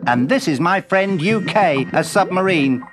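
A chirpy little storybook merman, very high and swooping with emphatic, excitable delivery. Music plays behind. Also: measured pace. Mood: indignant.

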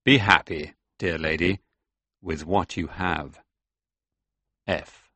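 An actor playing a courtly count who reads his letter with smooth, deliberate menace in an audiobook drama. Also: deep voice, fairly even intonation, sharp tone, no echo, heavily acted. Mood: suave menace.

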